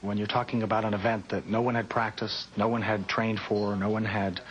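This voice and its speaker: A man, a union president, giving measured commentary in an interview. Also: fairly low pitch, poor audio. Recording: faint background noise.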